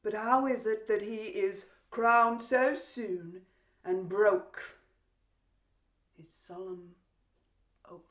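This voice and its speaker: A woman performing a Shakespearean stage monologue as a vengeful warrior queen, theatrical and taunting, with drawn-out pauses, gloating over a captive. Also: medium pitch. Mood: scornful, menacing. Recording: roomy, echoing untreated room.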